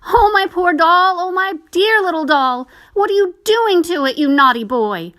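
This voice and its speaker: A woman's voice: high-pitched, expressive, normal speed, pitched above the performer's own voice. Woman doing a tender-hearted little girl. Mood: distressed. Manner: pleading, earnest and tearful.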